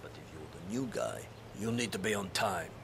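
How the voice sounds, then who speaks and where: A frail, sly old spymaster for a video game. His low voice crackles and often thins into breath, cutting and condescending. Clear background noise sits behind him. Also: expressive, moderate pace. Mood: haughty, probing. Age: older. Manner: condescending, probing. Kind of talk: video game cutscene acting.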